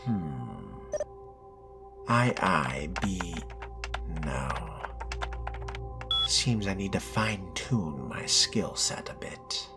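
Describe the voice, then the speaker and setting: A man with a very deep voice muses his way through a roleplaying game. He is slow and measured, with wry, formal phrasing and lively pitch movement. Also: loud music behind the voice. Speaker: roleplaying gamer narrating a playthrough. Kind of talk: in-character game roleplay, musing and reading aloud.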